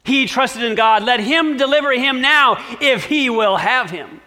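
A man's voice: extremely high pitch, lively intonation, unhurried, bright, forceful tone, long reverb tail, voice pushed up, heavily acted. A man reading aloud, voicing gloating elder priests who sneer and mock. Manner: sneering, mocking.

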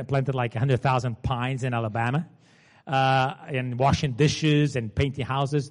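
A man in his forties with a Portuguese accent, giving an informal seminar talk and often asking the audience to confirm. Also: medium pitch. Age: 40s. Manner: chatty, asks the audience to confirm. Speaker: seminar presenter. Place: seminar room. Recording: long hall reverb.

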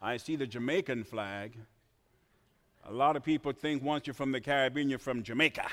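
A man from Trinidad preaching a sermon in a church, swinging from quiet asides to shouted emphasis. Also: medium pitch, normal speed. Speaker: pastor. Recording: reverberant church hall.

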